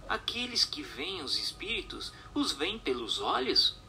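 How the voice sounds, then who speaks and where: Sharp, forceful male narrator, dramatic intonation. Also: very high voice, wildly swooping pitch, measured pace, creaky, slight background noise. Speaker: narrator and questioner. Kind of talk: scripted dramatized reading of a book.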